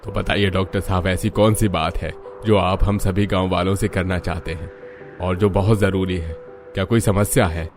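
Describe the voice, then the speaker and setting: Cartoon village chief, male actor: lowish voice, declamatory, commanding, faint music underneath. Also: steady tone, moderate pace, close to an everyday voice. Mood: authoritative. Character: village headman, authoritative and agreeable community leader. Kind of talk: cartoon moral story acting.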